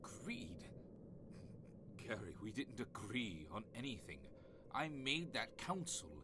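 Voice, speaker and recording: An actor playing an ancient, haughty afterlife judge in an audio comedy drama, dry, sarcastic and impatient. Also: male voice, medium pitch, big pitch swings, slow pace, noisy background. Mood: irritated.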